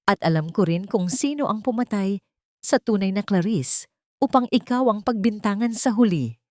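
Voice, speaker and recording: A woman's voice: mid-range voice, lively intonation, normal speed, warm tone, no echo, clean studio sound, voice pushed up, close to an everyday voice. A woman voicing a frightened, tearful old female housekeeper in an audio drama. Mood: trembling, grave. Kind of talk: Tagalog audio drama storytelling.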